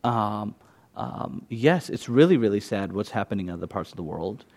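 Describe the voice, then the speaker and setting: A man's voice: fairly low pitch, animated, normal speed, darkish tone. A man, an imam, giving an informal talk to an audience at a meditation center, loose and conversational, with frequent fillers and restarts. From United States.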